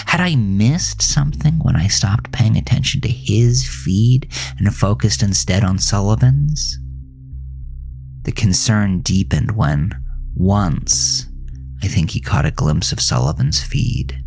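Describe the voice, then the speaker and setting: A man reading a scripted first-person horror story in a brooding, deliberate manner, at times dropping to a hush. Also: sits low.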